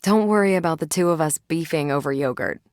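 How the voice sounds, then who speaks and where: A woman's voice: low voice, brisk, a little mellow, no echo, studio quality, natural voice. A woman voicing a battle-hardened, self-assured female superhero in a video game, with wry, casual delivery. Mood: dryly humorous. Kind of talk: video game character dialogue.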